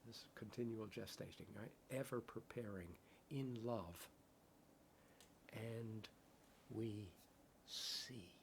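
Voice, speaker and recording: A man's voice: lowish voice, slow pace, creaky, long pauses, a little hiss or hum. A man teaching in a contemplative dharma talk. He speaks in poetic phrases and often checks in with "right?". English is his first language. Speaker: Buddhist meditation teacher.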